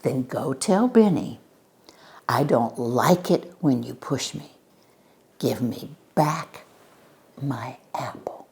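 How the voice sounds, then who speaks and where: A woman acts out a female schoolteacher in a children's storybook. Her voice is low and mellow, and she speaks slowly and deliberately, calm, firm and caring. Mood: firm, encouraging. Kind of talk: children's storybook read-aloud.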